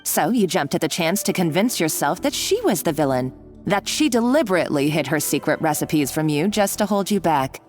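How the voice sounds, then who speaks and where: Game villainess: low-pitched, sneering and theatrical, lively swings in tone, soft score underneath. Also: female voice, fairly quick, faint music behind. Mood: mocking. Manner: mocking, condescending, bossy. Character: scheming, taunting woman villain, cruel and gloating. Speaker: game character. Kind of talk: video game voice acting.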